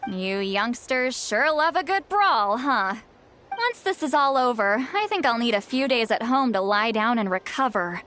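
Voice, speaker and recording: A woman's voice: fairly high pitch, lively intonation, unhurried, fairly bright tone, slight background noise. A woman voicing a spirited, teasing granny figure in a video game. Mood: cheerful, wry. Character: spirited, teasing granny, youthful-sounding, playfully scolding.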